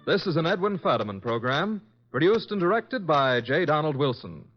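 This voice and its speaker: A man's voice: a little high, sing-song, normal speed. A man announcing scripted credits in the formal style of a 1950s radio programme. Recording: muffled vintage radio recording, music behind.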